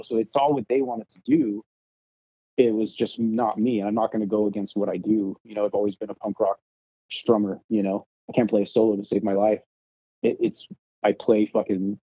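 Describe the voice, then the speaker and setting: A man's voice: on the low side, no room sound, muffled recording. A man telling stories casually as a podcast guest, swearing freely and acting out the things people said. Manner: profane, animated re-enacting of past arguments. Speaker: podcast guest, punk rock guitarist. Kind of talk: casual storytelling chat.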